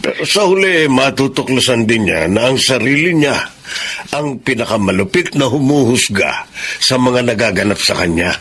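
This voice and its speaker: A man with a Filipino accent playing a calm, respectful, reassuring prison officer in a radio drama. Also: mid-range voice, moderate pace, husky. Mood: philosophical, measured. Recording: faint background noise and ambience.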